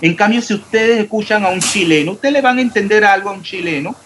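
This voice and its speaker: A man teaching chemistry in an online class, quizzing his students and correcting their answers. He sounds out every consonant, even the final s, and his voice sits a little high for a man. A faint hiss runs under the recording. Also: quick pace. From Panama. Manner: stresses clear diction, pronounces every s.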